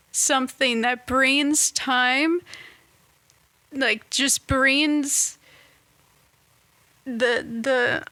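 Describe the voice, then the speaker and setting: Young woman, 20s, vlogger; unhurried, stop-start delivery, dry close sound. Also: high voice, sharp tone, dry recording. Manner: halting, searching for words with many restarts. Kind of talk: casual reflective monologue.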